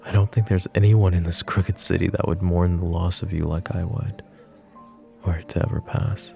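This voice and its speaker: Man acting a very deep-voiced, gravelly mafia boss. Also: mellow. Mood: earnest, frustrated. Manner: smooth-talking, teasing, pet names, sighs. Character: flirty, possessive mafia boss, teasing yet protective. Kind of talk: roleplay ASMR acting.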